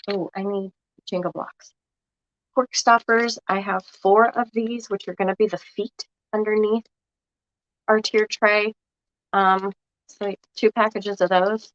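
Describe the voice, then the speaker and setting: Slow, froggy-voiced woman crafting. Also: medium pitch, no echo. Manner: unhurried, chatty, thinks aloud with fillers. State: has a cold, froggy voice. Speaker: craft vlogger. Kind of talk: casual how-to crafting chat to viewers. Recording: dull lo-fi dry recording.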